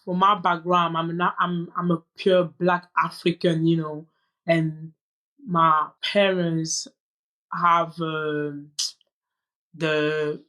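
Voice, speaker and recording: A young adult woman whose first language is French, telling her story as a podcast guest in an earnest, halting way with frequent restarts. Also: deep voice, flat delivery, fairly bright tone, low-quality recording. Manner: earnest, many restarts and "you know" asides. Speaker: guest, boxer. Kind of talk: candid conversational storytelling.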